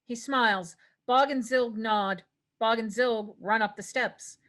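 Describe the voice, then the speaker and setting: A woman's voice: fairly high pitch, a little slow, a bit bright. A woman reading stage directions as narrator in a scripted fantasy table read.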